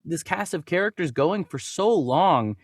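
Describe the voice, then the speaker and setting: A man's voice: fairly high pitch, expressive, a little slow, dry recording, clean studio sound. A man co-hosting a movie news podcast, talking casually and conversationally, sometimes reading news items aloud. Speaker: podcast co-host and voice actor.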